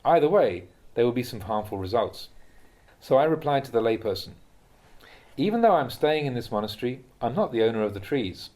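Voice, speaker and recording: A man with a mid-pitched voice reads spiritual teachings in the style of an audiobook. His delivery is measured and instructive, and each phrase is clearly set out. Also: very animated, dark tone. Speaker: reader of translated Buddhist teachings.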